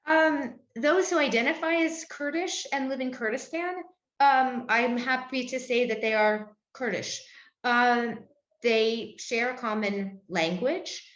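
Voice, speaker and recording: A woman, a nun being interviewed, giving careful answers full of pauses, fillers and restarts over a video call. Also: fairly high pitch, fairly bright tone. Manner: hesitant, heavy with fillers and restarts. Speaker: Benedictine nun, interview guest. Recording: roomy, dull lo-fi sound.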